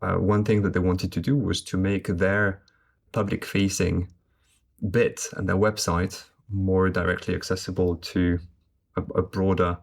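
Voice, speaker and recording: Low-voiced man. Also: flat delivery, creaky. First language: French. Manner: measured, explanatory. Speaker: guest translator. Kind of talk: conversational podcast interview.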